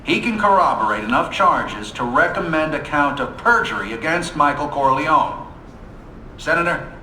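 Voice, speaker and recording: A man's voice: moderate pace, pushed and bright. An actor playing a grave committee chairman in a game cutscene, formal and oratorical. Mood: official, grave. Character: grave committee chairman presiding over hearing. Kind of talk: video game cutscene acting. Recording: echoey hearing room with noisy rumble.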